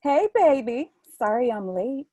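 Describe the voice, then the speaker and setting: A woman's voice: fairly high pitch, big pitch swings, unhurried, warm tone, no echo. A woman playing a sassy, scheming young woman in a play reading, taunting and mock-sweet. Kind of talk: readers theatre play reading.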